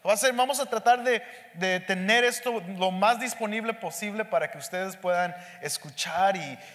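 Hombre de voz aguda, predicando en una sala con eco. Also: male voice, high-pitched, fairly bright tone. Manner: speaks slowly and deliberately, mixing in English words. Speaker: pastor teaching a Bible study. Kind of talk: sermon teaching. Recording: echoey hall with long reverb.

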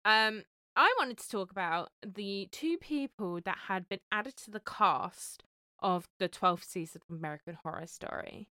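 A woman co-hosting a podcast in casual chat, animated and laughing constantly. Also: medium pitch, moderate pace, smooth, dry recording. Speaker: podcast co-host.

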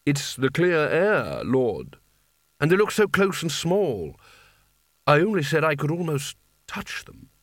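A man's voice: a little high, big pitch swings, takes it slowly, no room sound, clean studio sound, pitched above the performer's own voice, exaggerated voice. A man voicing a weary, cautious senior wizard in an audiobook, speaking in a deferential, hesitant way. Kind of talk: audiobook character voice.

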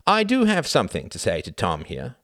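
A man's voice: on the low side, wildly swooping pitch, a little fast, fairly bright tone, no echo, studio quality, voice pushed up. A man voicing a haughty, spiteful, well-educated young upper-class brother in an audiobook, theatrical, sneering and condescending. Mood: cold fury. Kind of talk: audiobook character acting.

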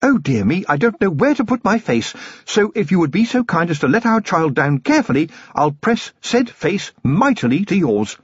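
A man's voice: high-pitched, very animated, moderate pace, hardly any pauses, breathy edge, no room sound, lo-fi sound, pitched above the performer's own voice, heavily acted. A man voicing a genteel noblewoman with a refined, gushing upper-class lilt. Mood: fond. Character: genteel noblewoman, warm and affectionate.

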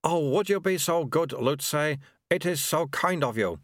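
A man's voice: on the high side, animated, unhurried, no echo, clean studio sound, voice pushed up. A man doing a stammering flustered monk. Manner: stammering, dithering, full of uhs. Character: flustered senior male monk, out of his depth.

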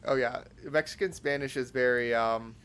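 A young man hosting a casual podcast interview, talking in a halting way with lots of fillers and restarts. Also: mid-range voice, fairly even intonation, a bit bright, clear, resonant tone. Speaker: podcast host.

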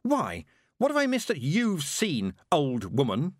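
A man's voice: wildly swooping pitch, measured pace, no room sound, studio quality, heavily acted. A man voicing an upset, defiant young witch girl, wailing and snappish, in audiobook style. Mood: indignant. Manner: wailing, snappish, high-strung. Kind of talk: audiobook character acting.

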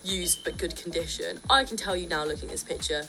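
A young woman, about twenty, hosting a student radio show in casual, jokey, opinionated chat, her voice a bit croaky. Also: on the low side, fairly quick, over music. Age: young adult.

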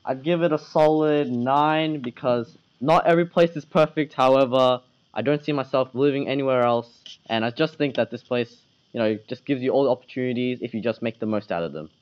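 A man's voice: brisk, clear, resonant tone. A teenage boy talks casually and earnestly, hosting a school podcast and answering questions about his background. His voice sits in a middle range. The audio is dull and lo-fi. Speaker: student interviewer. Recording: dull low-fidelity school recording.

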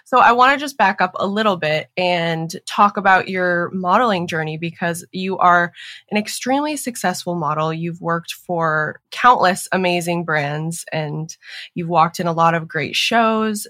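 A woman's voice: fairly low pitch, fairly bright tone, dry recording, studio quality. A woman hosting a podcast interview, asking questions in an enthusiastic, complimentary way. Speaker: podcast host. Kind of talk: casual interview.

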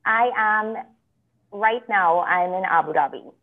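A woman from Trinidad, a lawyer guest telling her story in a measured, reflective way over a remote call. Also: mid-range voice. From Trinidad and Tobago. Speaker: guest, attorney. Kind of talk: conversational interview. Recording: tinny phone-like call line.

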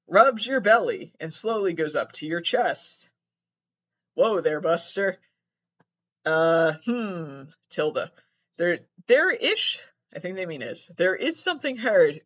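A man giving casual comedic commentary as he reads messages aloud, halting and sweary, with exasperated asides. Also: high voice, a bit bright, lo-fi sound.

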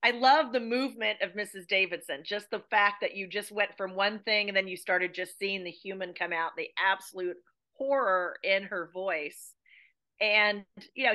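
Female interview guest, American accent, bright forceful voice, emphatic repetition. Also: medium pitch. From United States. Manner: emphatic, repeats phrases for effect. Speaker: podcast guest, playwright and theatre educator. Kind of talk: interview chat.

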